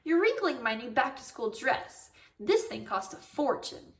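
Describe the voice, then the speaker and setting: A woman's voice: sits high, very animated, a little slow, exaggerated voice. A woman reading a children's book aloud, voicing a prissy, vain, fussy first-grade girl with a bossy, snippy manner. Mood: irritated, snippy. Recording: roomy echo, distant microphone.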